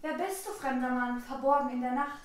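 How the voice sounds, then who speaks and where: A woman's voice: high voice, brisk, a crowd in the background, close to an everyday voice. A young girl playing a stage actress declaiming verse as a lovestruck Juliet. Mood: lovestruck, questioning. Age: child about 10 to 13. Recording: echoey, distant, noisy stage sound.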